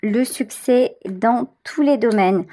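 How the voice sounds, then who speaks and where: A woman with a fairly high voice reads tarot cards for the listener. She speaks very slowly in a conversational way, leaning on key words and repeating them for emphasis. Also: warm tone. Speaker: tarot reader, channel host. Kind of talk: intuitive tarot reading addressed to the listener.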